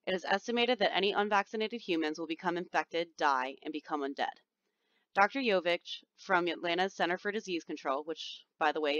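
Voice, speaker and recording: A woman's voice: low voice, a bit bright, no echo. A woman teacher with a slightly whiny voice records chatty, reassuring classroom instructions. She explains each step with plenty of ums. The sound is dry and a little dull, as from a basic computer microphone. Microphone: computer microphone, screen recording.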